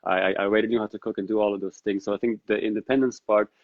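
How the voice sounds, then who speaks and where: Flat-voiced man, thinking aloud. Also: lowish voice, very fast, dry recording. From Netherlands. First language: Dari. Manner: reflective and earnest, thinking aloud. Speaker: podcast guest. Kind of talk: casual interview conversation. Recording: dull lo-fi sound.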